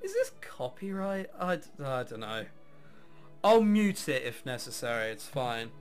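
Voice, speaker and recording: A man's voice: mid-range voice, wildly swooping pitch, a little slow, soft background music. A man hosting a visual novel let's play, reading game text aloud and adding casual, wry, irreverent asides.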